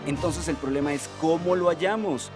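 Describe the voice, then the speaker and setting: High-pitched sensitive radio detective played by a man. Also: moderate pace, natural voice. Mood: earnest. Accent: Colombian. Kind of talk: comic radio drama. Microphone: studio broadcast mic. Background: music.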